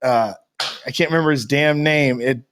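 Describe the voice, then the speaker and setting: A man's voice: medium pitch, normal speed, a bit bright. A man co-hosting a podcast in casual, joking conversation. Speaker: podcast co-host. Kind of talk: casual chat with comedic banter.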